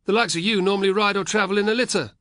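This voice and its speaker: A curt Roman guard fires off questions and jibes, as a male narrator puts him on. His voice sits high and loud, blunt and teasing, in a dry, close recording. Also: steady tone, rapid-fire, no room sound. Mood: mocking. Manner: curt, mocking. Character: blunt mocking male Roman gate legionary.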